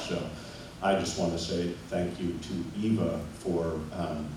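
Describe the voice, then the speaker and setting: A man giving earnest, halting thanks at a memorial gathering. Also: deep voice. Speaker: memorial speaker. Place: memorial gathering in a large room. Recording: echoey hall from far off, noisy room.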